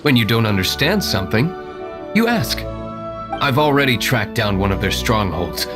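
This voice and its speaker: A man playing an aloof, proud young nobleman vigilante in a video game, measured, formal and deadpan. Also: mid-range voice, music in the background, lightly acted. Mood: calm, serious. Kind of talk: video game character dialogue.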